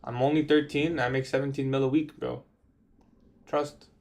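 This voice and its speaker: A man's voice: mid-range voice, little pitch movement, moderate pace, creaky, darkish tone. A man in his twenties chatting casually on a trading livestream, answering viewer questions in a laid-back, slangy way. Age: 20s. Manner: laid-back, slangy, says bro a lot. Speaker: livestream trader.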